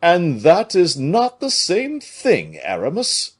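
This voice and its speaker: A man reading an audiobook, voicing a big, blustering, naive but good-hearted nobleman with loud, emphatic exclamations. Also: on the high side, huge pitch swings, slow, breathy edge, pitched above the performer's own voice, heavily acted. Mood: dismayed, blustering.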